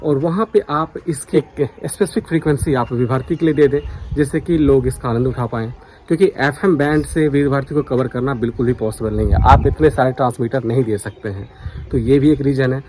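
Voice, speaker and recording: A man's voice: mid-range voice, a little fast, darkish tone, slight background noise, rough recording. An Indian man speaking casually to his viewers as a radio hobbyist vlogger, earnest and insistent in how he makes his points. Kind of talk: casual opinion vlog addressed to viewers.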